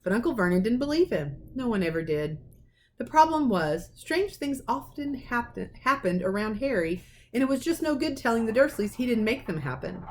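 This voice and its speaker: A woman reading a children's novel aloud as a teacher would, in an expressive storytelling manner. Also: fairly high pitch, moderate pace, low-quality recording. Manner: animated, acts out dialogue.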